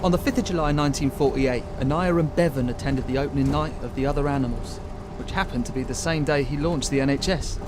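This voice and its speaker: A man's voice: mid-range voice, fast talker, hardly any pauses, noisy background, close to an everyday voice. An actor playing a formal male announcer, reading scripted narration in a radio drama. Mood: formal, declarative.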